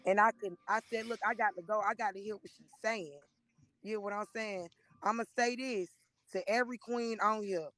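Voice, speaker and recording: A hoarse woman in her thirties gives an emotional, preachy testimony on a live stream, speaking into a phone and often calling listeners by name. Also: mid-range voice, fairly even intonation, brisk, a bit bright, no room sound. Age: 30s. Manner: earnest and preachy, repeatedly addressing listeners by name.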